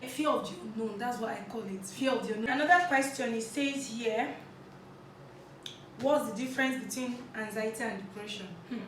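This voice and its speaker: A woman's voice: on the high side, a little fast, recorded from a distance, a little hiss or hum. A woman, hosting a casual vlog and chatting while she snacks, giggly and talkative, with crunchy bites between her words. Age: 20s. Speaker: vlog host. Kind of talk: casual talk while eating on camera. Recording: echoey room, set back from the mic.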